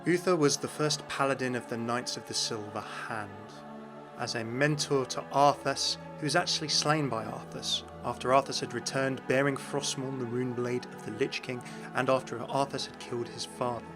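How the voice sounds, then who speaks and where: A man's voice: medium pitch, steady tone, moderate pace. Male gaming narrator over faint music. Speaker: gaming video host. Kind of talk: scripted video game lore narration.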